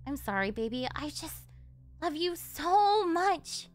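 A high-pitched yandere delinquent girlfriend, acted by a woman for an ASMR audio roleplay. She is clingy and doting, pleading sweetly with a possessive, threatening edge. Music plays behind her voice. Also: big pitch swings, slow pace, heavily acted. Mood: apologetic, adoring. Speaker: voice actress.

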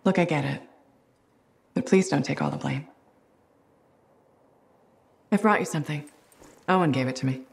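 A woman's voice: low-pitched, moderate pace, gravelly, pauses often. A woman playing a blunt, loyal exosuit pilot in a video game cutscene, speaking in a dry, direct way. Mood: supportive. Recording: music behind the voice.

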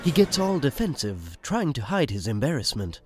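A man's voice: medium pitch, sing-song, normal speed, a little mellow, faint music behind. A man narrating a radio play in the present tense with a conversational storytelling manner.

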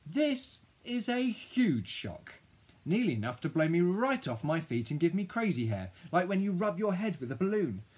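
Man voicing a husky, dramatic ten-year-old boy. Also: fairly low pitch, a little slow, pauses often. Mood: indignant, distraught. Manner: expressive, emphatic storytelling with dramatic outbursts. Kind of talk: first-person children's audiobook narration.

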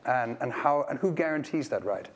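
A middle-aged man moderating a conference panel, asking articulate, measured questions on a lapel mic. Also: mid-range voice, expressive. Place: large conference stage.

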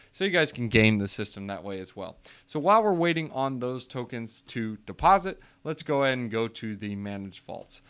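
A man's voice: fairly low pitch, a bit bright, clean tone. A man casually walking viewers through a screen share, joking and chatty, calling them guys. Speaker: crypto YouTuber.

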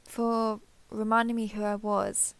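A woman playing an earnest, affectionate starship captain in an intimate sci-fi audio roleplay, speaking haltingly and confessionally. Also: mid-range voice, little pitch movement, creaky, no room sound. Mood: reflective, fond. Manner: hesitant, halting, apologetic, confessional.

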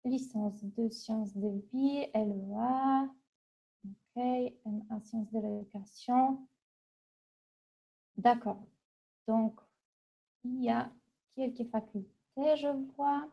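A middle-aged woman from Poland with a Polish accent, presenting an informal webinar in a halting, conversational way. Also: fairly high pitch, vocal fry, mellow. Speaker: university international relations manager, webinar presenter. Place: small office, indoors. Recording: dull, lo-fi webcam audio.

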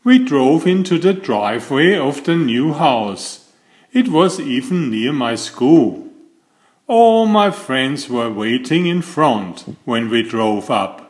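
An older man reading aloud as a children's storyteller, with slow, deliberate, expressive phrasing. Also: medium pitch, roomy sound. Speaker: children's book reader and host.

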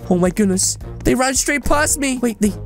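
A man in his twenties hosting a gaming video, giving excitable roleplay commentary with exaggerated, childlike dramatics. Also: extremely high pitch, normal speed, soft background music. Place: small bedroom-style gaming setup.

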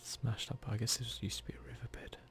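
A man giving soft-spoken, whispered ASMR commentary on a video game, murmuring casually as if to himself. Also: mid-range voice, flat delivery, fairly quick. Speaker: gaming video host.

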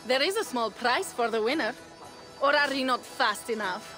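An actress playing a boisterous, playful and proud Viking warrior in a video game, with a hearty, teasing delivery. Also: female voice, on the high side, animated, normal speed, sharp tone, soft background music. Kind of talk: video game cutscene acting.

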